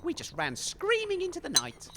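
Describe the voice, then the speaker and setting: An actor playing a nervous, hesitant male radio DJ on the run in an audio comedy drama, stammering and high-strung. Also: huge pitch swings, moderate pace, noisy background, heavily acted. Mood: hesitant, worried.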